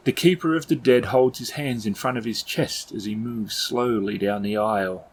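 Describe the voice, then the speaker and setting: A man working as game master, narrating scenes in measured, descriptive, literary language. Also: medium pitch. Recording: background ambience noise under voice.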